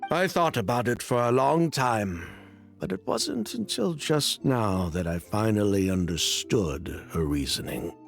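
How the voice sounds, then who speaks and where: An actor playing an evasive, self-justifying criminal in dramatic video game dialogue, with wry, sighing delivery. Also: male voice, on the low side, big pitch swings, unhurried, vocal fry, faint music behind, heavily acted. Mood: somber, reflective. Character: evasive, defensive criminal man confessing past wrongdoing.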